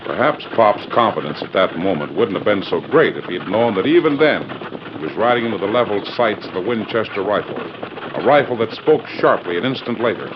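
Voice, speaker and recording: A man's voice: fairly low pitch, lively intonation, fairly quick. A man narrating a 1940s radio western in a grand, theatrical, declamatory style. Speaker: announcer and narrator. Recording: scratchy, muffled 1940s radio recording.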